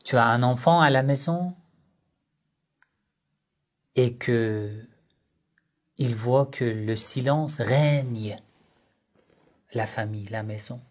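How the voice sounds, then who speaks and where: A man giving a religious lesson on family life, speaking in short, measured phrases and asking rhetorical questions that he then answers himself. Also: medium pitch. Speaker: religious teacher.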